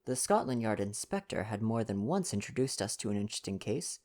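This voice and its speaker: A man voicing a fastidious, vain older Belgian detective from a storybook, speaking in formal, courteous foreign phrasing. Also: lowish voice, big pitch swings, darkish tone. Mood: wry. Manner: formal foreign phrasing, sighing self-importance. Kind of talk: storybook dialogue reading.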